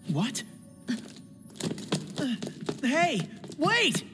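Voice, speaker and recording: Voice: sits high, huge pitch swings, extremely slow, over music, full character voice. A performer playing a bewildered, earnest teenage boy in an anime dub, talking casually and easily flustered. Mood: startled. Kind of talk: anime English dub acting.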